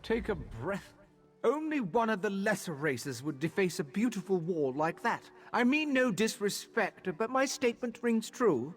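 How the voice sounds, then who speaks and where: Haughty, high-pitched male elf guard. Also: sing-song, measured pace. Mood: haughty, dismissive. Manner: condescending, curt, superior. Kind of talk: video game character dialogue.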